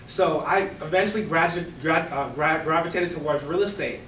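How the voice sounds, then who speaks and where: A young man with a Bahamian accent giving a relaxed, conversational talk to a live audience, full of "you know" and "right?". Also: fairly high pitch, normal speed, a little hiss or hum. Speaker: guest speaker. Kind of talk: casual presentation to a live audience. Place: event room before an audience. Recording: roomy, distant room mic.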